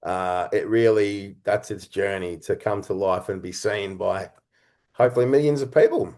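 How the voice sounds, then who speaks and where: A man speaking as an interview guest, earnest and reflective, explaining his creative work in halting, conversational phrases. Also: on the low side, a little mellow. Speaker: interview guest, writer-director and actor.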